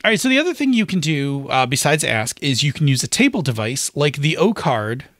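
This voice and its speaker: A man's voice: fairly high pitch, very animated, brisk, fairly bright tone, no room sound. Conversational man co-hosting, dry close sound. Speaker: podcast co-host. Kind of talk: casual chat about tabletop game advice.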